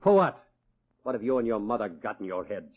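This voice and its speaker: A man acting a bitter, wealthy, domineering father in a radio drama, scornful and forceful, with a husky voice. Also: on the low side, big pitch swings, brisk. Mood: exasperated. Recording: muffled old-time radio recording.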